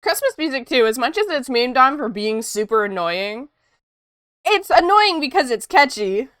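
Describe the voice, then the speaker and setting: A woman's voice: high voice, normal speed, no room sound, studio quality. A woman from Ontario, a sniffly art streamer chatting casually with her viewers while she draws. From Canada, Ontario. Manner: chatty, lively, reads chat aloud.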